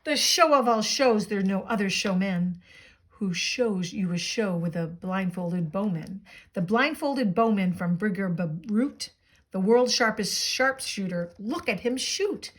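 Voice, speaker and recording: A woman reading a storybook as an eager, boastful young boy playing circus showman, proclaiming excitedly in rhyme. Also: mid-range voice, measured pace. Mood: showy, proud.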